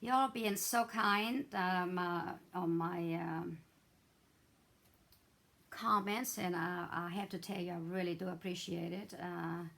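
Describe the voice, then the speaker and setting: A hoarse middle-aged woman, chatting her way through a craft tutorial with many fillers and restarts. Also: on the low side, steady tone, slow, fairly bright tone. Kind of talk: casual step-by-step craft demonstration.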